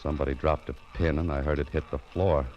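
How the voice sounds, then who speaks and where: An actor playing a world-weary, wry American private detective in a deadpan radio noir style. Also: male voice, rumbling low, quick pace, a little hiss or hum. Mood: wry suspense. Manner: dry, deadpan, wisecracking. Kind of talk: 1940s-50s radio noir narration and dialogue. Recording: muffled vintage radio recording.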